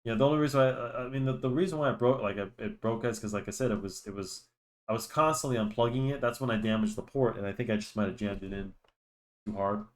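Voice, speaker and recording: A man's voice: mid-range voice, fast. A young man chatting casually while he draws, rambling with many restarts and fillers, and he sounds slightly nasal from a cold. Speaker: drawing channel host. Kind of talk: casual chat while drawing. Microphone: desk microphone.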